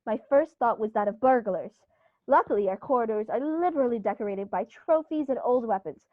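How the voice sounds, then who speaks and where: A woman's voice: fairly high pitch, animated, fairly quick, no echo, natural voice. A woman playing an upper-class male country squire in a 1930s-style radio play, speaking with theatrical, genteel emphasis. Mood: confiding. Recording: dull, muffled recording.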